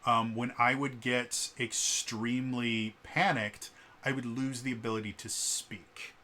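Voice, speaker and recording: A man with a stutter giving casual spoken commentary as a vlogger, halting with many fillers and repeated sounds. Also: mid-range voice, flat delivery, pushed and bright.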